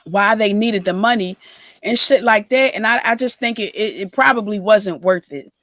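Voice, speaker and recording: A hoarse woman, losing her voice from a coming cold, co-hosting a casual gossip livestream. She explains her views at length in a measured way. Her voice sits at an ordinary pitch with a dry, close sound. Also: a bit bright, dry recording. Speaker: livestream co-host.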